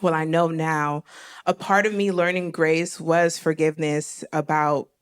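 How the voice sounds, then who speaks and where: A woman's voice: low voice, fairly even intonation, unhurried, clear, resonant tone. A young woman from Miami hosting a live stage conversation, talking candidly and laughing easily. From Miami, Florida. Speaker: host. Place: live event stage before an audience.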